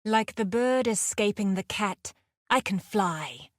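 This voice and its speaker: A woman playing a fierce, aloof huntress in a video game, speaking in a measured, terse and commanding way. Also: medium pitch, expressive, no room sound, clean studio sound. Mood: grim, measured. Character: fierce, aloof huntress with a predatory, survivalist temper. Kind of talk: scripted game combat barks and dialogue.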